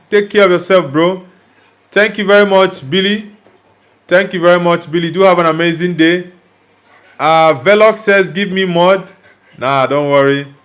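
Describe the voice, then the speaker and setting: A young man from Nigeria hosts a football live stream in a relaxed, chatty way. His voice sits a little higher than most men's. He reads out viewer comments and answers them directly, sometimes teasing, sometimes blunt. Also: clear, resonant tone. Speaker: livestream host reading chat. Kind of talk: casual live chat.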